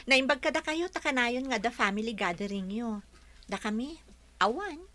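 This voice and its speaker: A woman's voice: a little high, lively intonation, a little slow, pushed and bright. An actress with an Ilocano Filipino accent playing a polite, hesitant, worried young girlfriend in a radio drama. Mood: shy, polite.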